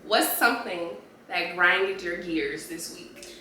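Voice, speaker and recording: A woman's voice: fairly low pitch, slow, sharp tone, a little hiss or hum. A young woman co-hosting a podcast while stuffy from a cold, chatting casually and slangily and calling listeners y'all. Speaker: podcast co-host. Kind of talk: casual chat. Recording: echoey room.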